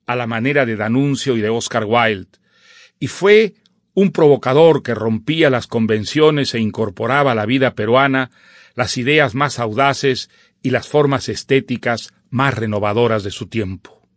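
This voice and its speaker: A man's voice: medium pitch, no echo. Man presenting literature in dry studio sound. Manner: erudite, measured, formal phrasing. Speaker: presenter introducing a literary radio drama. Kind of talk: scripted literary commentary.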